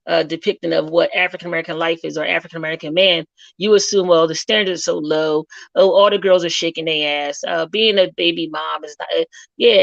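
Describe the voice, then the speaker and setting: Female panelist, mid-pitched with heavy vocal fry, blunt casual talk, slightly lo-fi sound. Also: no echo. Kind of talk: casual opinionated discussion.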